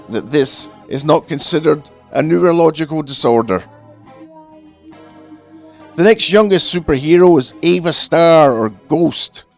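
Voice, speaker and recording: A man delivering a scripted satirical film review in a dry, deadpan way. He has a cold, so his voice sounds nasal and throaty. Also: a little high, gravelly.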